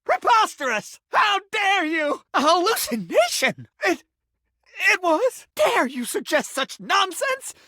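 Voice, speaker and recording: A man's voice: very high voice, huge pitch swings, takes it slowly, pushed and bright, no room sound, clean studio sound, heavily acted. A man voicing a pompous, melodramatic talking sink in a video game, with the formal diction of a self-important claims adjuster. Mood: indignant. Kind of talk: video game character acting.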